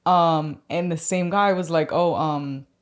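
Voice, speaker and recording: A woman's voice: low voice, fairly even intonation, unhurried. A woman from the Bronx, talking casually as a podcast guest, full of filler words and restarts. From Bronx, New York. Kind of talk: casual conversation.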